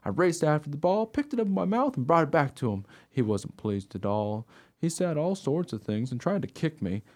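A man's voice: on the high side, very animated, moderate pace, darkish tone. A man playing an eager, naive mongrel dog who tells his own story in a radio play. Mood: earnest storytelling. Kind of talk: first-person radio play narration.

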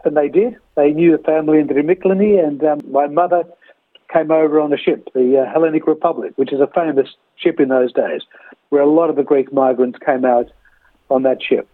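Sixties guy on a phone line, bit high voice, slow storytelling w lots of uhs, sounds tinny. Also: no echo. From Australia. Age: 60s. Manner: measured, storytelling, frequent uh pauses. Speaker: interview guest. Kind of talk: reflective interview answers.

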